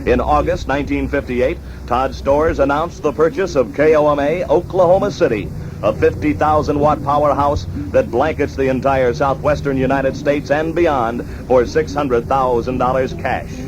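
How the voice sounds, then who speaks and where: A man's voice: mid-range voice, normal speed. A man with a formal announcer delivery, reading scripted narration in the manner of a 1960s radio documentary. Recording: dull, noisy archival 1960s radio recording.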